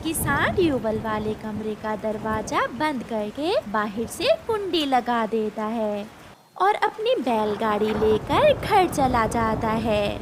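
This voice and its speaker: A woman's voice: fairly high pitch, sing-song, slow. A woman reading scripted narration for a children's cartoon story, with noise behind her. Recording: clear background noise.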